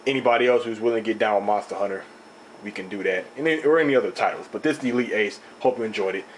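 A young man with a slightly raspy voice, rambling and animated as he talks through an unboxing on his own channel, recorded indoors on a camera mic. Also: lowish voice, very fast, a little hiss or hum, poor audio. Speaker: video host. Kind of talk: casual unboxing talk. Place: indoor room, table top.

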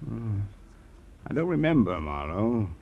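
An actor playing a cagey, taunting American money-grubber in an old radio crime drama. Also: male voice, lowish voice, big pitch swings, a little slow, vocal fry, full character voice. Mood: sly, taunting. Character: sleazy, evasive money-grubbing male operator. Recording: muffled old radio recording.

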